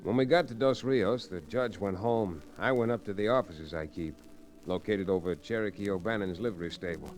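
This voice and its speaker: An actor playing an easygoing, generous young frontier lawyer in an old-time radio western, with a folksy, wry manner. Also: male voice, on the low side, brisk, darkish tone, close to an everyday voice. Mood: narrating. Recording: noisy vintage radio recording.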